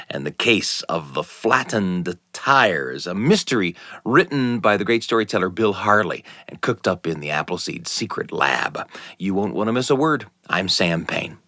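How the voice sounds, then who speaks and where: Man, radio host and storyteller, low voice, lively swooping intonation, deliberate pauses, scripted narration. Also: dry recording, clean studio sound. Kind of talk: scripted narration and hosted chat.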